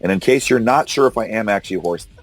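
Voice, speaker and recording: Hoarse low-voiced man hosting. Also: quick pace. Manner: argumentative, incredulous, laughs often. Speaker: podcast host. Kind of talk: casual political discussion. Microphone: call recorder.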